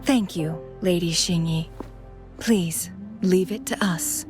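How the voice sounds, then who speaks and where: Low-pitched, composed young fantasy heroine. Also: female voice. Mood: earnest, resolute. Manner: measured, formal, earnest. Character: composed, thoughtful young woman ally in a fantasy adventure. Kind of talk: video game voice acting. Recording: loud music under the voice. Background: game music.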